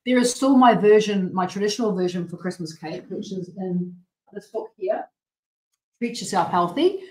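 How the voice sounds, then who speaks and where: A woman's voice: mid-range voice, expressive, normal speed, a little mellow. Australian woman, late forties, bubbly rambling host, roomy echo. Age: late 40s. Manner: bubbly, rambling, with frequent restarts and laughs. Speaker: host of a healthy cooking show. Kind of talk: chatty live-stream greetings and updates.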